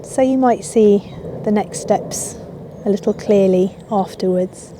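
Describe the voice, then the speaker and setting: A woman yoga teacher guiding a relaxation in a soothing, unhurried way with long pauses. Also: mid-range voice, background noise. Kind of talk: guided yoga nidra relaxation. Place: outdoors in a garden. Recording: outdoor ambience with birdsong. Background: birds and garden ambience.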